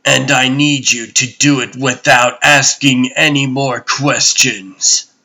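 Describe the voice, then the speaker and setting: Comic-dub tyrant played by a man: brooding god-emperor, forceful bright tone, very slow grave delivery. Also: medium pitch, steady tone, heavily acted, rough recording. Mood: stern. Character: imperious, brooding male god-emperor and stern father. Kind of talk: dramatic comic dub acting.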